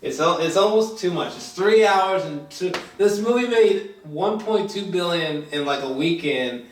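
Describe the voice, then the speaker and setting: A man in a casual film review chat, talking in a halting, rambling way, repeating phrases for emphasis and swearing freely. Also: high voice, lively intonation, slight background noise. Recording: echoey room, distant mic.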